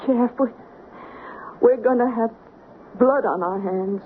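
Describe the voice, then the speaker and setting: A woman's voice: fairly high pitch, slow pace. An actress playing an anguished, conscience-stricken small-town woman in a radio drama, pleading and distraught. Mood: distraught, guilty. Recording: tinny, noisy old radio broadcast.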